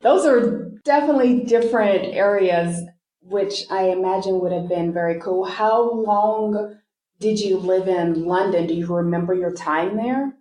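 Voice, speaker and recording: A woman hosting a podcast interview, her voice deep and scratchy because she is hoarse with a cold. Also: measured pace, warm tone. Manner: reads her intro from a script, then asks short friendly questions. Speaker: podcast host.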